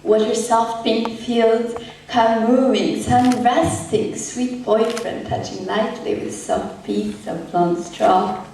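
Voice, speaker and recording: A woman playing a haunted, traumatised war survivor in a poetic stage monologue, with halting, fragmented phrasing. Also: on the high side, steady tone, warm tone. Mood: haunted, subdued. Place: echoey indoor performance space, distant mic. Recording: echoey hall from far off, faint noise.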